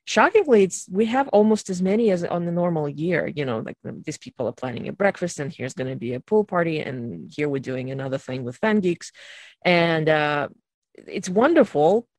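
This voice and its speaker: A podcast host moderates an online group chat in a mid-pitched voice. The delivery is wordy and halting, full of hesitations, in casual conversation. The sound is dry, with very little room in it. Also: animated, darkish tone, no echo. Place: online video call.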